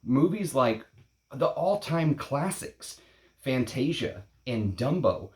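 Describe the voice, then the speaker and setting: A man in his late thirties hosting a perfume vlog, talking casually in a rambling, opinionated way, blunt and quick to swear. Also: medium pitch, big pitch swings. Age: 30s. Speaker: perfume channel host. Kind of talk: casual unscripted vlog talk. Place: at home in Texas.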